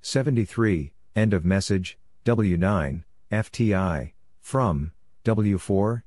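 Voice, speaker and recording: A man doing a scripted readout of call signs and short radio phrases as a practice narrator, in a deliberate, clipped manner. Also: on the low side, darkish tone, no room sound, clean studio sound. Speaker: Morse code practice narrator.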